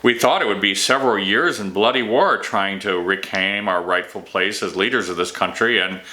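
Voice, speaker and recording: Man reading as an earnest, bright-voiced prince. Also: lowish voice, moderate pace, low-quality recording. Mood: earnest, hopeful. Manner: earnest, reasoning. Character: king's loyal, trusting younger brother, earnest prince.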